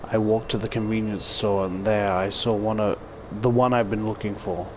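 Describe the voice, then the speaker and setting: A man's voice: lowish voice, fairly even intonation, normal speed, a little hiss or hum. A man reading a visual novel aloud as a YouTuber, stumbling now and then and adding casual commentary. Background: game music.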